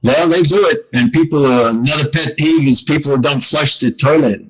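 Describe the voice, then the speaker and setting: A man guesting on a podcast as a comedian, talking in a rambling, halting way and sounding eager about what he likes. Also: fairly high pitch, breathy edge, poor audio. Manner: rambling, halting with fillers, enthusiastic. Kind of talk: casual podcast chat.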